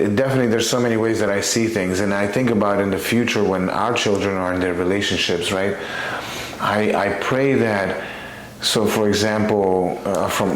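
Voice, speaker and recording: A man co-hosting a podcast, talking conversationally and earnestly about faith, repeating phrases for emphasis. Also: fairly low pitch. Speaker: podcast co-host. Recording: echoey room with faint background noise.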